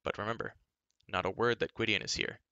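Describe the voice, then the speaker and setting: A man's voice: lowish voice, steady tone, a little fast, sharp tone, clean tone, no room sound, dull audio. A man voicing an eager, earnest young hero who speaks urgently.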